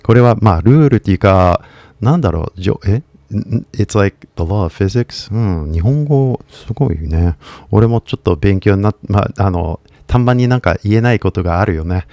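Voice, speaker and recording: Low-voiced rambling American teacher. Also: male voice, animated, rapid-fire, dark tone, no room sound, highs cut off. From United States. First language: English. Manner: loose, rambling, full of fillers and self-corrections. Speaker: English teacher. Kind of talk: casual lesson talk.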